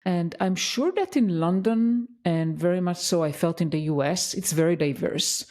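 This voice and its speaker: A woman's voice: fairly low pitch, moderate pace, darkish tone. A woman with a foreign accent, hosting a conversational interview podcast. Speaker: podcast host.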